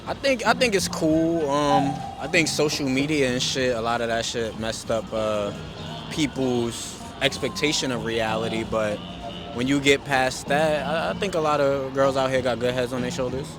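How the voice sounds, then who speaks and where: Man on the street, relaxed and slangy, plain mid voice, music behind. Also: medium pitch, normal speed. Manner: laid-back, slangy, blunt. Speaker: interviewee. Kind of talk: casual street interview answers. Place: outdoors on a city street.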